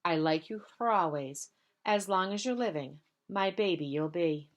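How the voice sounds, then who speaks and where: A woman's voice: fairly low pitch, lively intonation, moderate pace, dull audio, a little hiss or hum. A woman reading a picture book aloud to young pupils as a member of school staff, lingering on repeated phrases. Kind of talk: children's storybook read-aloud.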